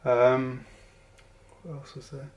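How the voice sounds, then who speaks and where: A man's voice: medium pitch, little pitch movement, slow pace, darkish tone, muffled recording, slight background noise. A man in his forties from southern England with a southern English accent, giving a casual, rambling to-camera vlog update with lots of ums and restarts. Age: 40s. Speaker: vlogger.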